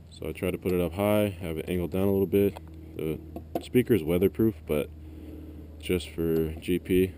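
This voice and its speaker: Deep, very dark-voiced casual man. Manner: plain-spoken, unscripted, explanatory. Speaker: amateur installer giving a car tutorial. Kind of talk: casual hands-on how-to demonstration. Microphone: action camera. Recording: noisy action camera, vehicle ambience.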